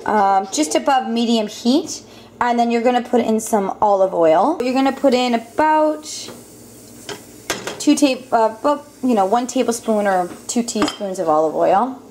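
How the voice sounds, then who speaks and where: A young woman casually walking through a home recipe at her stove, talking with lots of ums and quick self-corrections. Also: on the high side, lively intonation, darkish tone, slight background noise. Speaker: cooking vlogger. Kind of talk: casual cooking demonstration. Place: home kitchen. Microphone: camera mic, filming over the stove. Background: clinking pots and utensils.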